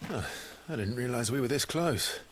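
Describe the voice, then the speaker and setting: A man's voice: medium pitch, lively intonation, normal speed, slight background noise, lightly acted. An actor playing a grim, earnest young swordsman who speaks tersely.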